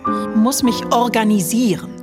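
A woman's voice: fairly high pitch, normal speed. A woman giving a literary recitation of poetic prose, as a spoken-word performer. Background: music.

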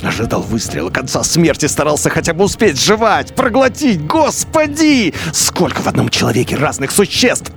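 An actor playing a bitter, haunted male turncoat officer in a radio play, brooding and moving between low mutters and sharp outbursts. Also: very animated, moderate pace, creaky, few pauses. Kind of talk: radio drama inner monologue. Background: music.

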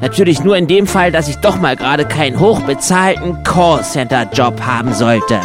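A man's voice: fairly high pitch, lively intonation, normal speed, over loud music, natural voice. A man playing a wry first-person storyteller, reading scripted, wordy narration in a dry, ironic way.

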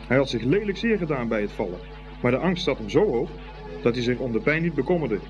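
A man with a middle-pitched voice narrates a radio play in storybook style. Music plays clearly behind his scripted telling. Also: lively intonation, fast, highs cut off.

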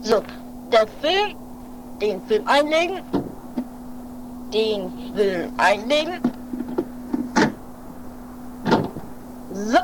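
High female voice, acted jittery filming sidekick, dramatic repetitive muttering, amateur radio play, noisy home-made eighties recording. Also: big pitch swings, slow. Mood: bustling, solemn. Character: nervous, devoted filming companion of a daredevil tightrope walker.

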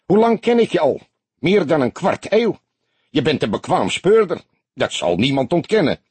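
A man voicing a small, slick, jovial Amsterdam bar owner in an audiobook, chirpy and exuberant, quick to take offence. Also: on the high side, big pitch swings, a little mellow, slightly rough, no room sound, voice pushed up, heavily acted. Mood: jolly, exclaiming. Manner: chirping, exuberant, indignant-prone. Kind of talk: audiobook character voice.

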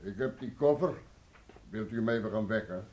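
A man's voice: fairly low pitch, normal speed, darkish tone, lightly acted. A man playing a weary traveller in a Dutch radio play. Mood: genial, weary. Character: weary travelling man come to wake a gentleman. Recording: noisy old radio play recording, clicks.

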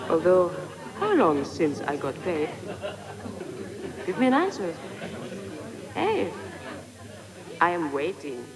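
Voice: medium pitch, wildly swooping pitch, slow, pauses often, low-quality recording. An actor in a radio play as an impatient, demanding, curt caller waiting to be paid. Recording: music and crowd noise behind. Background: music and busy crowd sounds.

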